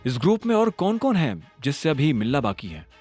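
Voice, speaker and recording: A man's voice: fairly high pitch, wildly swooping pitch, a little fast, darkish tone, slightly rough, exaggerated voice. Audio drama: cool young male lead, questioning, terse, music underneath performed by a man narrating. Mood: probing.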